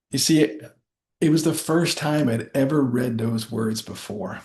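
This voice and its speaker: A man giving a rehearsed motivational speech as a storyteller, speaking deliberately in short phrases with dramatic pauses. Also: medium pitch, darkish tone.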